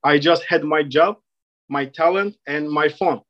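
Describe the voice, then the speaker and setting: A man's voice: fairly high pitch, muffled recording. A man in his thirties from Turkey with a Turkish accent, a hairstylist guest chatting confidently, with frequent restarts. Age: 30s. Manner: confident, self-assured, halting with repeated restarts. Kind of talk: casual interview chat.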